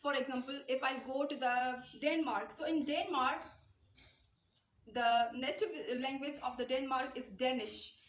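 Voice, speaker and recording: A woman's voice: high-pitched, a little slow, echoey room. A young Pakistani woman with a Pakistani accent gives an earnest English-speaking lesson straight to camera, repeating her points and gesturing with her hands. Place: small indoor room, light wall behind.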